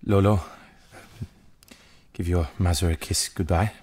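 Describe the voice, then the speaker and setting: A man's voice: sits low, fairly even intonation, unhurried, a little mellow. An actor playing a secretive, troubled French father with a hesitant manner in a radio drama. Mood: guarded.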